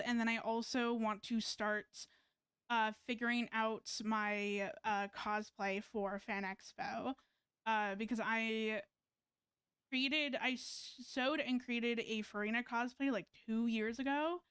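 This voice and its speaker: A woman's voice: on the high side, fairly even intonation, slow, fairly bright tone, clear, resonant tone, dry recording. A woman game streamer chatting casually in a rambling, hesitant way, close to the mic. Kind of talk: casual livestream chat, reading game dialogue aloud.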